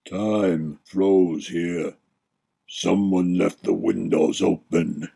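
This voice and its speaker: A man voicing a weary, unflappable spy in a storybook, gravelly, laconic and dry. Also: low-pitched, steady tone, extremely slow, warm tone, exaggerated voice. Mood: deadpan. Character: weary, unflappable male spy serving a wicked duke. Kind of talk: dramatised storybook narration.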